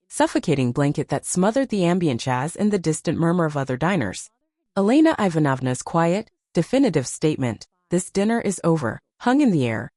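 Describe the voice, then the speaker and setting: Expressive woman narrating a dramatic story. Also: sits low, dry recording, clean studio sound. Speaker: audiobook narrator.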